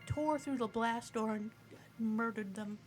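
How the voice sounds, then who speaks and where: A woman with a fairly high voice plays a tabletop roleplaying game. She chats casually in character with theatrical, salty phrasing, and music plays clearly behind her. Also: a little slow, darkish tone. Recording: background music.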